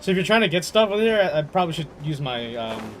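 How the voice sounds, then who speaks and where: A man's voice: a little high, sing-song, fast talker, a little hiss or hum. A young man giving casual, slangy gameplay commentary, often talking to himself as he plays. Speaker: video game streamer. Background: game music.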